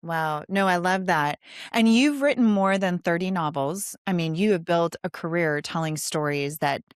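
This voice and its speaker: A woman's voice: lowish voice, normal speed, clean tone, no room sound, clean studio sound. A woman podcast host introducing and interviewing with an effusive manner.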